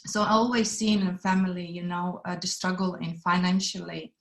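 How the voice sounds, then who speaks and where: A woman's voice: fairly low pitch, flat delivery, normal speed. A woman from Latvia giving an earnest webinar presentation with non-native phrasing, recorded on a computer mic. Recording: echoey room.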